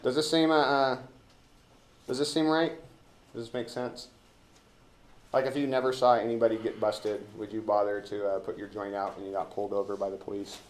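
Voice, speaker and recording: A man's voice: on the low side, level tone. An American man leading an informal classroom discussion of a play, rambling and joking as he feels his way through. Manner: halting, rambling, colloquial. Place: indoor classroom. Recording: roomy classroom echo, background hiss.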